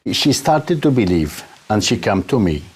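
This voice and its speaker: A man from Syria, a pastor with a Syrian Arabic accent, answering in an interview and haltingly searching for words. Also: on the low side, sing-song, a little mellow.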